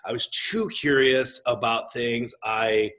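A man's voice: mid-range voice, takes it slowly. Gregarious middle-aged male pastor. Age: 40s. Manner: gregarious and expressive, big voice. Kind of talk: casual conversational interview.

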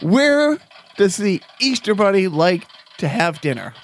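A man's voice: high voice, big pitch swings, unhurried. A man co-hosting a comedy podcast, joking and rambling in casual chat. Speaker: podcast co-host.

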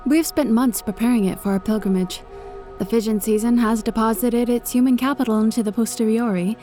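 A woman's voice: a little high, fairly even intonation, fairly quick, dark tone, natural voice. Woman as a devout, assured tech priestess. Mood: proud. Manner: solemn, assured, formal. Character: devout, confident female machine-cult tech priest. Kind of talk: audio drama acting. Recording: faint music behind the voice.

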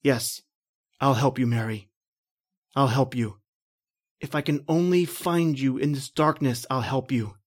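A man's voice: sits high, animated, measured pace. Male actor for a ghostly narrator, old radio drama, intense and desperate. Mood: mournful. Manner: intense, rising to panicked shouting. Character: terrified man narrating his own death.

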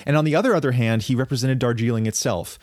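A man on a podcast explaining a piece of history at length in a casual, thoughtful way, often pausing and restarting his sentences. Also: medium pitch, darkish tone, no room sound, clean studio sound. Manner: thoughtful, halting, many fillers and restarts. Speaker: podcast co-host.

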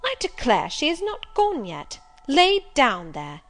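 A woman's voice: high-pitched, huge pitch swings, unhurried, soft background music, voice pushed up, exaggerated voice. A woman voicing a stern, suspicious elderly female servant in an audiobook, blunt, curt and scolding. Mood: flustered, scolding. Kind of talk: audiobook character voice.

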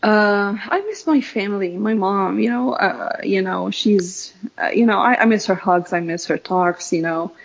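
A woman in her thirties with a light Egyptian accent talks as a guest in a relaxed interview. She stops and restarts often, leaning on fillers and laughing between thoughts. Her mid-range voice comes through a dull, slightly lo-fi recording. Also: medium pitch, unhurried, vocal fry, few pauses. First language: Arabic. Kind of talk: casual interview chat. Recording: dull lo-fi sound.

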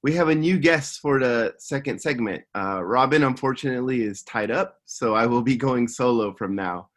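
A man hosting a podcast interview, talking in a relaxed, hesitant way with fillers. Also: medium pitch, moderate pace. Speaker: podcast host. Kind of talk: casual interview chat. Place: Japan.

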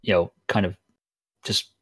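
A man's voice: measured pace, dry recording. A man co-hosting a gaming podcast, chatting casually and hesitantly with frequent fillers. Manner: relaxed, hesitant, lots of ums. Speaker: podcast co-host.

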